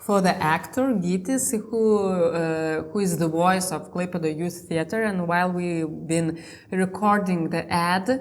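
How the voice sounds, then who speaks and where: A woman with a Lithuanian accent hosting a casual interview in English, speaking haltingly as she searches for words. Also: fairly low pitch. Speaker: interview host.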